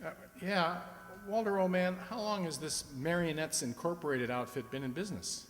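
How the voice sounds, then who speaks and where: A man's voice: a little high, moderate pace, lightly acted. A man acting in a radio play as a henpecked, nervously eager husband, hesitant and wheedling, recorded in an echoey hall. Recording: echoey hall with long reverb.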